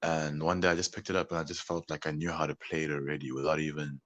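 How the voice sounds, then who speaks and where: A man's voice: very deep voice, level tone, fast, fairly bright tone. A man being interviewed as a musician guest, talking in a meandering, hesitant way with many fillers. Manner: meandering, hesitant, full of "like" and "you know". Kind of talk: casual interview talk.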